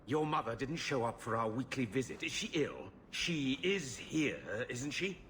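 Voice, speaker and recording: Man as a fretful middle-aged uncle, animated delivery. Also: mid-range voice, normal speed, lightly acted. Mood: impatient worry. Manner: fretful, blunt, demanding. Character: agitated, impatient older uncle, anxious for his sister. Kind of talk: video game voice acting. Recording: faint background ambience noise.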